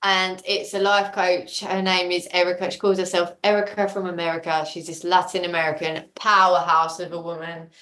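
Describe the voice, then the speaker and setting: A woman chatting casually as a podcast guest, telling stories in an animated, jokey way. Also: on the low side, moderate pace, few pauses, slight background noise. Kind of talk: casual chat. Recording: roomy, echoey sound.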